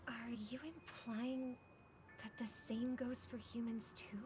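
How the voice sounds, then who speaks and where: An actress playing a gentle, idealistic young sister in a video game, earnest and soft-spoken, with careful diction. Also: female voice, fairly high pitch, little pitch movement, a little slow, noisy background, natural voice. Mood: troubled. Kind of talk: dramatic video game voice acting. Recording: music behind the voice.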